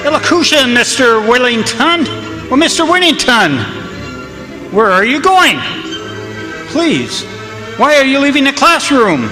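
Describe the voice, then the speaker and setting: An actor playing a sensitive young student in a radio drama, pleading in a harsh, cracking, raspy voice. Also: male voice, very high voice, big pitch swings, a little slow, pauses often, in a large hall, over music, heavily acted. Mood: distressed, pleading. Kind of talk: old-time radio drama acting.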